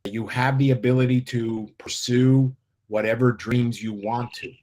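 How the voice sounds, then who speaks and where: A man's voice: mid-range voice, fairly even intonation, a little slow, a little mellow, rough recording. A man in his fifties from the United States, a life coach guest speaking earnestly and inspirationally. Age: 50s. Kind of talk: interview talk.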